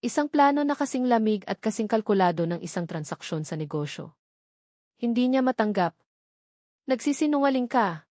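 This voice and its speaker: Female narrator for scripted romantic drama storytelling; medium pitch, dry close sound. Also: expressive, fairly quick, mellow, dry recording.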